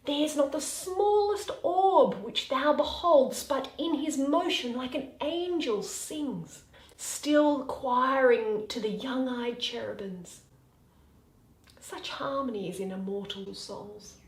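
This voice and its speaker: Woman playing a tender young male lover, slow verse. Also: high voice, expressive, roomy sound, exaggerated voice, rough recording. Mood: awed, tender. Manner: lyrical, measured, breathy at line ends. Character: tender, playful young male lover musing poetically by moonlight. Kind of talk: Shakespearean verse reading.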